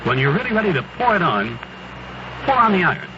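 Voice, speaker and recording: An American man reading a punchy 1970s radio beer commercial as a sales pitch. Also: big pitch swings, quick pace. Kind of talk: 1970s radio advertisement read. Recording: noisy dull 1970s radio recording.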